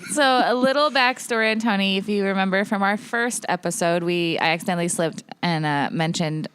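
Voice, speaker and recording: A woman's voice: medium pitch, a little fast, a bit bright, clean tone, no echo. A young woman co-hosting a casual podcast, teasing and swearing freely. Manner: swears, teasing, laughs easily. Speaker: podcast co-host. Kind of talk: casual chat.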